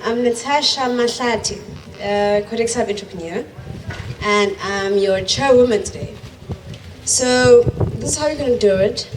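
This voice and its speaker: A woman's voice: on the high side, a little mellow. Hesitant woman chairing a panel. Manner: hesitant, many ums. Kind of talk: conference moderation. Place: conference room. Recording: distant mic, faint room noise.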